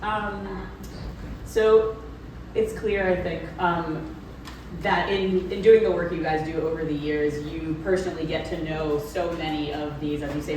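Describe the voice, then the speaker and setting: Deep-voiced woman moderator in echoey room. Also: noisy background. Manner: thoughtful, searching for words. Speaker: moderator, editor. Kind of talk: moderating a book talk. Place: event room. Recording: roomy, distant from the mic.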